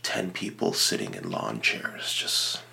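A man playing a reverent small-town radio devotee on an improvised comedy podcast, speaking in a halting, hushed whisper. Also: extremely low pitch, very animated, gravelly, full character voice. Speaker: podcast guest and producer. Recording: noticeable background noise.

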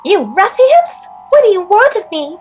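A woman's voice: very high-pitched, sing-song, moderate pace, highs cut off, soft background music. A woman playing a sweet, earnest rich girl in an amateur radio-play reading of an old comic. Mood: indignant.

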